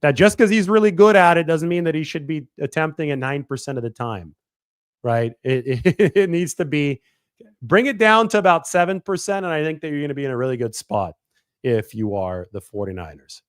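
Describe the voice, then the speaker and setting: A man in his thirties talking through a football analysis on his own show, quick and analytical, often restarting and correcting himself mid-sentence. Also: a little high, expressive, dry recording, studio quality. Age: 30s. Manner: quick analytical delivery with frequent self-corrections. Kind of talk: sports analysis monologue.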